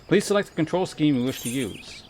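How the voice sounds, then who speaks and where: A man's voice: on the high side, lively intonation, brisk, a little mellow, a little hiss or hum. A man livestreaming a game, chatting casually and thinking aloud in a rambling, sometimes profane way. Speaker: game streamer. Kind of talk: casual livestream chat while playing. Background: game music.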